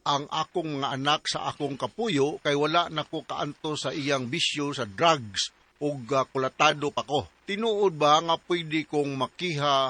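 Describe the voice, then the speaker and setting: A man's voice: on the high side, unhurried, sharp tone. A man with a Cebuano Filipino accent co-hosting a casual radio talk program. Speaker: radio co-host.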